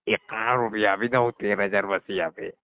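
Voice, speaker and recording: Man acting evasive garage customer, needling, nonchalant, deeper voice, comedy phone call, dull close recording. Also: steady tone, normal speed, no room sound, lightly acted. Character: cheeky, evasive male garage customer dodging payment. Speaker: performer in a scripted comedy call.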